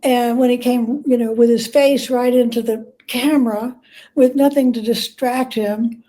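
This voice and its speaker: A woman with a fairly high, very soft and mellow voice talks as an interview guest. She explains things through conversational anecdotes, often stopping to rework a phrase. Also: steady tone, measured pace. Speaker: guest, biographer.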